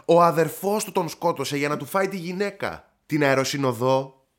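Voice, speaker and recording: A man's voice: a little high, lightly acted. An actor playing an eager young aspiring crime writer in a radio drama, defending his stories.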